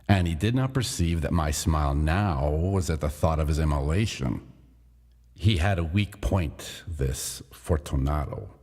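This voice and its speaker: A man narrating a classic gothic tale in a measured, deliberate dramatic reading. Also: very deep voice, mellow, in a large hall.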